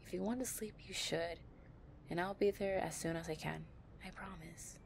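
A woman playing a possessive young gang-leader girlfriend, tough but tender, in an intimate, soft-spoken audio roleplay. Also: on the low side, fairly even intonation, normal speed, gravelly, slight background noise. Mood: affectionate, drowsy.

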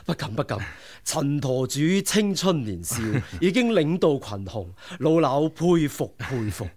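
A man's voice: on the high side, very animated, measured pace, a little mellow. An elderly swordsman, Hong Kong Cantonese accent, humble formal speech, radio drama. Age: older. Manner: humble, polite, speaks in old formal phrases. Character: elderly male martial arts master, courteous and urgent. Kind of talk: 1990s radio drama acting.

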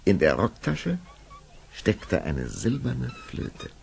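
A man's voice: medium pitch, huge pitch swings, takes it slowly, creaky, very noisy. A man narrating a fairy tale for a children's audio play in a scripted storytelling style. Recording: old audio play with music behind.

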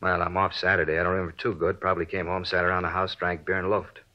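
A man plays a defensive ex-convict with an American accent in an old crime radio play. He talks fast and slangy in a fairly low voice, slippery and aggrieved. The recording is muffled with age. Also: level tone, close to an everyday voice. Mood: evasive. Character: evasive, aggrieved male ex-convict suspect. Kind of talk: 1950s radio drama acting. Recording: dull vintage radio recording.

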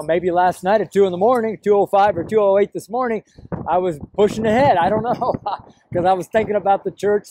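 A man's voice: sits high, mellow, slight background noise, low-quality recording. A middle-aged to older American man preaching informally to camera, rambling and emphatic, repeating his phrases. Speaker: street preacher. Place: outdoors, park path beside a road.

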